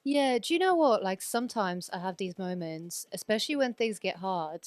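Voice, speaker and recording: A woman in her thirties, born in Poland and raised in Sweden, chats freely in a podcast interview. Her voice is smooth and clean. She rambles with lots of fillers, and the sound is close and dry. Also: medium pitch, expressive, moderate pace, no echo. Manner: chatty, rambling, many fillers and restarts. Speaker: guest DJ.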